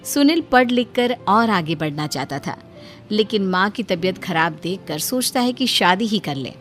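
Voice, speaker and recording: A woman's voice: mid-range voice, expressive, moderate pace, soft background music. A woman narrating a cartoon story in a wry, storytelling manner.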